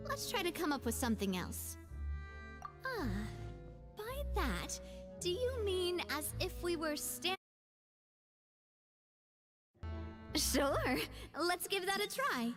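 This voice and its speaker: Spirited young female boss for anime game: high-pitched, animated, decisive, upbeat. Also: takes it slowly, over music, heavily acted. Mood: brainstorming.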